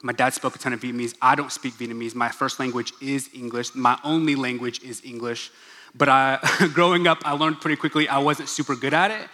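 Bright-voiced man preaching in a hall. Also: mid-range voice, fairly even intonation, a little fast. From Oklahoma, USA. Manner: chatty, joking, asides to the crowd. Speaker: pastor. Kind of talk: casual sermon in a church gathering. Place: large church hall. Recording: long reverb of a large hall.

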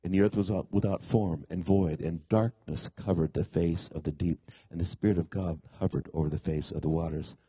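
Man with a dull, husky voice. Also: low-pitched, steady tone, a little fast, mellow, no echo. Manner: conversational, asks the congregation questions. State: congested from a cold-like ailment after a tooth extraction. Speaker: pastor. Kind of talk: sermon.

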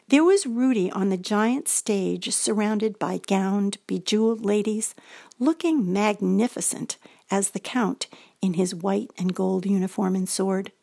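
An older American woman reading a scripted personal story, gentle and reflective, as a feature presenter. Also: medium pitch, vocal fry, dry recording, clean studio sound. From United States.

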